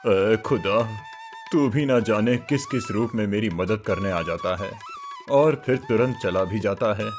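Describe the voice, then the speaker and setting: A man's voice: on the low side, unhurried, lightly acted. Humble old mendicant played by a man, pleading and devout, cartoon story, music underneath. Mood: grateful, devout. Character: humble, parched old wandering fakir, gratefully devout. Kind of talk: Hindi moral cartoon story dubbing.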